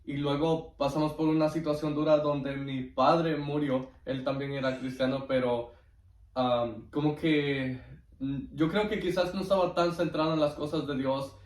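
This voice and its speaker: A man's voice: a little high, steady tone, a little mellow. Man, distant echoey recording. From Guatemala. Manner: devout, earnest, halting. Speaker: interview guest giving testimony. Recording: roomy echo, distant microphone.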